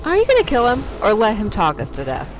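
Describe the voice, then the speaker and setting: An actress playing a plucky spaceship crew member in a sci-fi audio drama. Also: female voice, a little high, huge pitch swings, fast talker, over music. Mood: defiant. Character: plucky young female crew member held captive.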